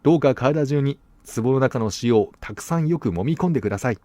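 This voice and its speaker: A man's voice: mid-range voice, lively intonation, a little fast, dark tone, no room sound, voice pushed up, lightly acted. A man voicing the polite, insistent notices of a mysterious restaurant in a storybook. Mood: coaxing, apologetic. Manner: courteous, instructing. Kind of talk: storybook reading.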